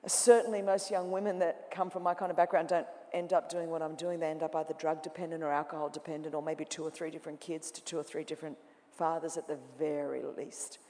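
A woman preaching to a congregation, her voice ringing through a large auditorium with a long reverb. She moves from loud, lively imitation to quiet, intense confession. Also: deep voice, quick pace. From Australia. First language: Greek. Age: 40s. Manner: dramatic, swings from loud mimicking to hushed intensity. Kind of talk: sermon.

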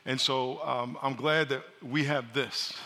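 A pastor preaching a sermon, loud and joking, calling on his congregation to answer back. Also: mid-range voice, steady tone, unhurried. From New York. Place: large church hall. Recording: live sermon in a reverberant hall.

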